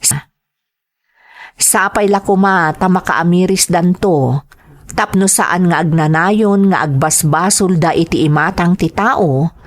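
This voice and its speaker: A woman's voice: fairly low pitch, slow. A woman playing a sympathetic friend in a radio drama, consoling and moralizing in an earnest way. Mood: sympathetic, disapproving.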